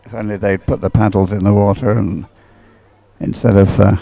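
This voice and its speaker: A man's voice: lowish voice, little pitch movement, moderate pace, gravelly, warm tone. A man giving live commentary as a sports race commentator.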